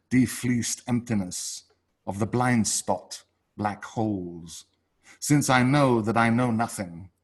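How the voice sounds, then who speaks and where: Slow, deliberate man reading poetry. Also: fairly low pitch. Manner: deliberate, dramatic pauses between short phrases. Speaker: poet reading own work. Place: online video call reading. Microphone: video call.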